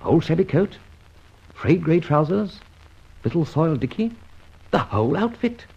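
A dull, band-limited old radio recording of a suave gentleman sleuth. His voice is gravelly and creaky, and he toys with mock-grand phrases in a wry, theatrical way, like a hero of an adventure serial. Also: fairly high pitch, sing-song, normal speed, full character voice. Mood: gleeful, teasing.

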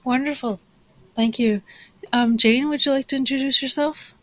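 Woman podcast host, soft prompting. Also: high voice, fairly even intonation, moderate pace, dull audio. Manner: scripted intro, then soft prompting questions. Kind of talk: interview hosting.

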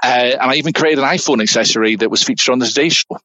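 Scottish man, bright forceful voice. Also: medium pitch, racing, dry recording. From Scotland. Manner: energetic, repeats words when starting sentences. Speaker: guest engineering executive. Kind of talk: conversational interview.

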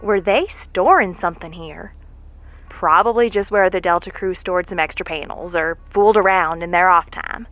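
A woman's voice: fairly low pitch, normal speed, fairly bright tone, no room sound, highs cut off, faint music behind. An actress playing a lone rover worker, a chatty, curious woman who mutters to herself in a folksy way in an audio drama. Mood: curious, wary. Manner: folksy, muttering to herself, breathy sighs and hesitations.

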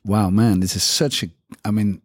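A man's voice: on the low side, steady tone, normal speed, dry recording. A man hosting a panel discussion, talking hesitantly with many fillers but with enthusiasm.

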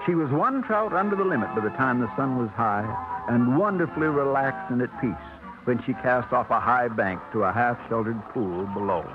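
Male narrator, measured storyteller, 1950s radio drama, thin tinny broadcast over music. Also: mid-range voice, lively intonation.

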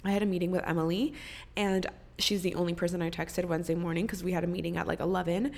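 A woman's voice: lowish voice, fairly even intonation, quick pace, creaky, darkish tone. A young woman hosting a podcast, chatting casually and rambling, swearing freely, and sounding congested. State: congested, coughing. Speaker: podcast host. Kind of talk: casual chat.

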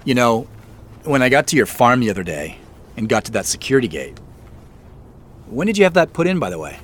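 Audio drama male, wry uneasy businessman, lively mid-range voice, hesitant, faint outdoor noise behind. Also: medium pitch, brisk. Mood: wry, chatty. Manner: hesitant, self-deprecating, chuckles as he talks. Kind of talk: naturalistic audio drama dialogue.

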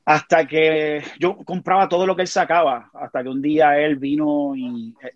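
A man with a Puerto Rican accent, a podcast guest telling animated stories in a casual conversation. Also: fairly high pitch, normal speed, no echo, dull audio. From Puerto Rico. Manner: animated storyteller, frequent restarts.

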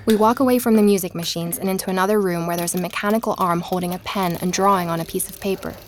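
A woman playing an investigator who narrates her own research in a measured, reflective audio-drama style. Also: lowish voice, steady tone, close to an everyday voice. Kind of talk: scripted first-person audio drama narration.

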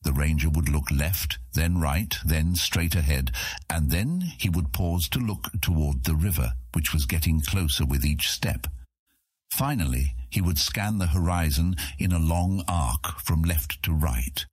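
A man narrating a scripted fantasy audiobook in a measured storytelling manner. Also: a bass voice, expressive, darkish tone, slightly rough, dry recording, studio quality.

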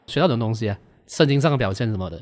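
A man's voice: mid-range voice, animated, racing, darkish tone, long reverb tail. A Malaysian man speaking casually as a podcast guest, with a Malaysian accent. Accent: Malaysian Chinese-language. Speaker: podcast guest, amateur voice actor. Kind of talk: casual chat.

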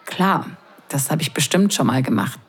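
A woman acting in an intimate audio drama as a teasing wedding guest who is drawn in, breathy and hushed. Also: very deep voice, animated, soft background music, full character voice. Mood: wary, curious. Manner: breathy, hushed, slow and sensual delivery.

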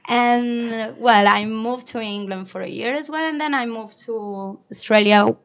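A woman's voice: fairly high pitch, unhurried. A woman from Mallorca speaking English with a Spanish accent, chatting as a podcast guest and laughing often. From Mallorca, Spain. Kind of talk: casual chat. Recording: dull lo-fi podcast audio.

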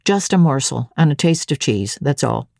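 A woman reading a storybook in the part of a middle-aged servant woman, stolid and secretive, speaking in a demure, measured, unruffled way. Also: a bass voice, expressive, creaky, a little mellow, no echo, clean studio sound, voice pushed down, heavily acted. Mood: stolid, composed. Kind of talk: dramatic audiobook reading.

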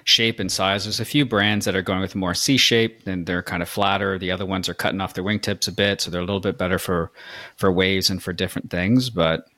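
A man's voice: deep voice, fairly quick. A man hosting a podcast, casual and agreeable as he chats and asks questions. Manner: relaxed, agreeable, encouraging. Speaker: podcast host.

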